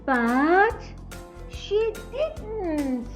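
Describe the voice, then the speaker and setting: High-pitched, animated woman storyteller. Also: very slow. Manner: playful, explains words simply, repeats phrases. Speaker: storyteller co-host. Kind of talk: children's storytime read-aloud with banter. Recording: music clearly behind the voice. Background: music.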